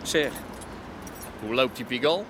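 An actor playing a chummy, scheming street crook in a radio drama, with a familiar, wheedling manner. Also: male voice, mid-range voice, measured pace, close to an everyday voice. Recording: noisy outdoor street ambience.

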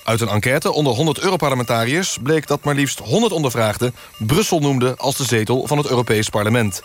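A man playing a serious radio newsreader, delivering a scripted satirical bulletin in deadpan fashion. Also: medium pitch, fast, faint music behind, close to an everyday voice. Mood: deadpan, solemn. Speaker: news presenter.

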